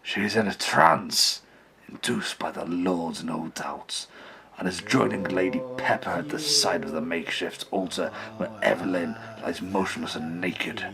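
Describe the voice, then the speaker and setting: Man acting a slow, melodramatic pious father, creaky voice. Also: sits low, crowd noise. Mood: hushed horror. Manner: melodramatic, exclamatory. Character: pious horrified father, narrating, then frantic. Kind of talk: old-time radio play acting.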